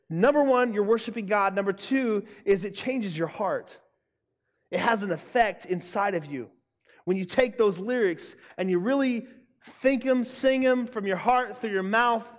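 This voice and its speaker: A young man preaching a sermon to students, emphatic and loud, repeating his key lines. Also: high voice. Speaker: youth pastor. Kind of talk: youth sermon. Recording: long hall reverb.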